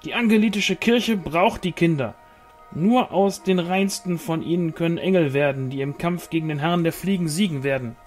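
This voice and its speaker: A man's voice: on the high side, moderate pace, faint music behind, pitched above the performer's own voice, lightly acted. A man voicing a zealous leader of a fanatical angel order, solemn and preaching, in an audiobook with a German accent. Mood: insistent.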